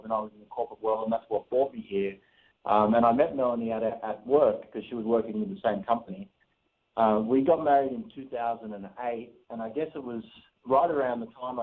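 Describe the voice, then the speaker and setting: A man in his thirties with an Australian accent, a guest telling his story in a chatty radio interview with easy laughs. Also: medium pitch. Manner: chatty, laughs between phrases. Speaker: guest, real estate investor. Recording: phone-line interview audio.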